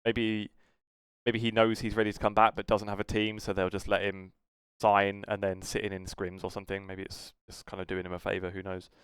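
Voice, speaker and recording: A man's voice: lowish voice, level tone, a little fast, fairly bright tone, clear, resonant tone, dry recording, studio quality. A man with a British accent co-hosting a casual esports podcast, talking in a rambling, hesitant way that trails off. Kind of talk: casual esports news discussion.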